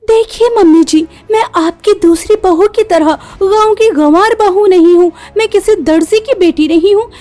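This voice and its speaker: A snobbish young city wife from a cartoon family tale, acted out by a woman. Her voice is very high yet mellow, and she talks in an insistent, complaining way, looking down on village life. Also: moderate pace, few pauses. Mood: haughty defiant. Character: haughty modern city-bred daughter-in-law, young woman. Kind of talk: Hindi cartoon story voice acting.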